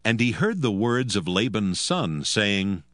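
A man narrating scripture from a script in a measured, solemn storytelling manner. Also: lowish voice, sing-song, fairly bright tone, no echo, studio quality.

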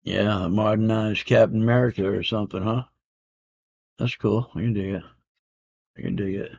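A man's voice: lowish voice, moderate pace, gravelly. A man in his fifties casually showing off comic books at home, rambling with lots of fillers and easygoing slang. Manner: relaxed, rambling, many "uh" fillers, says "man" and "I can dig it". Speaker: comic collector showing an auction win. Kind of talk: casual unboxing chat. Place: home room with comic art on the walls. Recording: dull lo-fi home camera audio.

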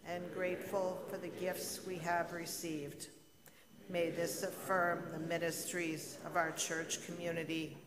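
A woman leading a liturgical response in a church, with the congregation reciting along. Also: on the low side. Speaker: service leader at a church. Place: large church sanctuary. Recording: echoey church hall, congregation voices.

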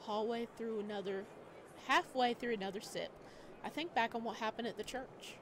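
A woman reading a visual novel aloud, switching between calm narration and animated, giggly asides to her viewers. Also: a little high, moderate pace, background noise. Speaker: let's play video creator.

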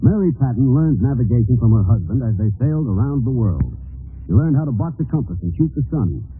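A man's voice: mid-range voice, big pitch swings, fairly quick, breathy edge, no echo. A male actor narrating an old-time radio drama as a dramatic storyteller of the sea. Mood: storytelling. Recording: tinny old radio recording, music behind.

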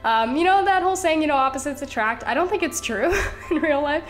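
A woman's voice: high-pitched, animated, brisk, soft background music. A young woman chatting casually while she builds characters in a game, a little stuffy from being sick, rambling with lots of "like" and "you know" and small laughs. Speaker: Sims gameplay creator. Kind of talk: casual chat over gameplay.

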